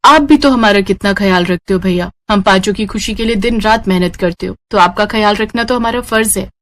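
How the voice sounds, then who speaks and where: A woman's voice: medium pitch, a little fast, fairly bright tone, close to an everyday voice. A woman voicing a caring, affectionate young sister who scolds fondly and expressively in a dramatized family story. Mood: tender.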